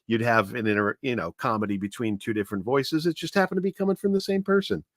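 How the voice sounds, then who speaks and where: A man with an average-pitched voice co-hosts a relaxed online discussion. He comments and tells anecdotes in a conversational manner. He is recorded close and dry. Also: quick pace, no echo. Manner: conversational, acts out quoted lines. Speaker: co-host and commentator.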